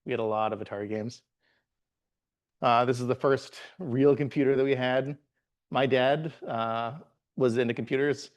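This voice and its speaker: Relaxed man presenting with lots of fillers. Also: mid-range voice. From Bahamas. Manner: relaxed, halting, many "uh" fillers and restarts. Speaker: guest presenter, software engineer. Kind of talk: live tech meetup talk with slides.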